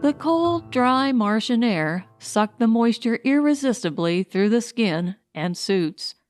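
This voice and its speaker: A woman narrates a pulp science fiction audiobook at an unhurried pace. Her voice is smooth and clear, at a medium pitch, recorded close and dry. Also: animated, dry recording, faint music behind.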